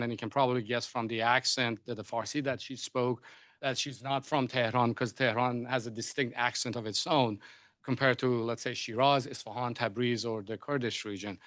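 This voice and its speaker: A man's voice: medium pitch, steady tone, moderate pace, fairly bright tone, no room sound. A man whose first language is Persian, moderating a panel discussion, often hesitating and restarting his sentences. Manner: hesitant, many restarts and fillers.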